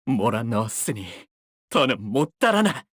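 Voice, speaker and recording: An actor playing a young, bird-like warrior in a game, polite and formal in speech yet bloodthirsty, with theatrical delivery. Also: male voice, mid-range voice, big pitch swings, normal speed, a bit bright, slightly rough, no echo, clean studio sound. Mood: fierce, intense. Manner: polite, formal speech breaking into fierce intensity. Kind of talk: Korean game character voice lines, theatrical.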